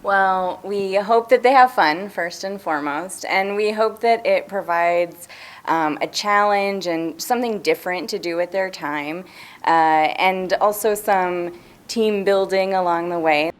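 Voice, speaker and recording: A woman on a library's staff giving halting, unscripted interview answers. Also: medium pitch.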